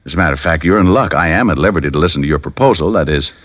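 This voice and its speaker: Deep-voiced wisecracking radio private eye. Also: male voice, expressive, rapid-fire, fairly bright tone, full character voice. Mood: wry. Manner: wry, sarcastic banter. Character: wisecracking, unflappable male private detective. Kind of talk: old-time radio detective drama acting. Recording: dull, lo-fi vintage radio recording.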